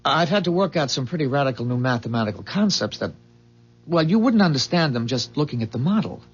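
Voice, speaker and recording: An earnest, proud inventor from a 1970s radio play. His voice is a little rough and moves animatedly up and down as he explains in formal, careful phrases. The recording is dull and old. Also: medium pitch, animated, quick pace, faint music behind. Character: earnest, idealistic male inventor, proud of his revolutionary engine. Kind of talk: 1970s radio drama acting.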